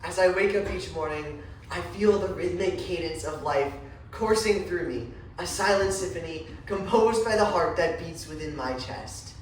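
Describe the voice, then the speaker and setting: A woman's voice: low-pitched, lightly acted. A woman playing a reflective, grateful mother in a dramatic stage monologue. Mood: reverent. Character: reflective, grateful mother living with donated heart. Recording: very echoey hall, distant, faint noise.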